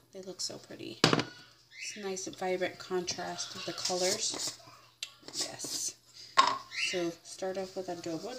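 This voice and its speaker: A young American woman chattily talking through a casual cooking demonstration, step by step, in a home kitchen. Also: on the low side. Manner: chatty, informal, narrating each step. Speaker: home cook, vlogger. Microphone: fixed camera on the counter. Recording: very noisy home kitchen recording with clatter. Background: kitchen clatter, people in the background.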